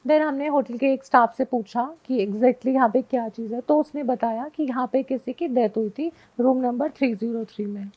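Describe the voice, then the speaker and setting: A young woman with a high voice tells a first-person story in a casual, conversational way. She slips English words into her sentences. The recording is dull and lo-fi. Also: moderate pace, hardly any pauses. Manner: mixes Hindi with English words. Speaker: guest.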